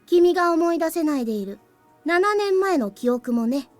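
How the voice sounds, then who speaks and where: A young boy from a visual novel, high in pitch. He is aloof and composed, speaking plainly with a faint cheek. Game music plays clearly behind him. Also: animated, quick pace, darkish tone. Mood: calm, matter-of-fact. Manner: cool, matter-of-fact, slightly cheeky. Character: calm, aloof young boy, crystallized past memory of the hero. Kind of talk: Japanese visual novel voice acting.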